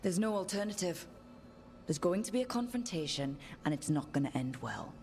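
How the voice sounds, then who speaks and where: An actress playing a firm, loyal heroine in a video game, speaking earnestly and reassuringly. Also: female voice, lowish voice, expressive, moderate pace, a little mellow, soft background music. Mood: insistent. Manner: earnest, determined.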